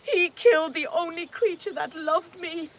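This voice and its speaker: A woman's voice: very high voice, moderate pace, a little hiss or hum. An actress playing a vengeful, grief-stricken old forest witch in a radio drama, solemnly intoning like an incantation. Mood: impassioned cursing. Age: older.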